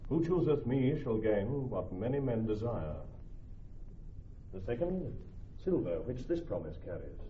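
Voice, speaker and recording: An actor playing a solemn, proud prince with declamatory delivery in a Shakespearean radio drama. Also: male voice, on the low side, slight background noise. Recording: distant, muffled old radio recording.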